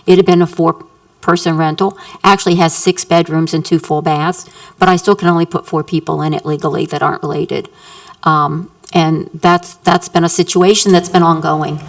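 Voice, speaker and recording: Woman, landlord, public comment, earnest, emphatic, repeats herself, lowish voice. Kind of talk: public comment at a council work session. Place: council chamber.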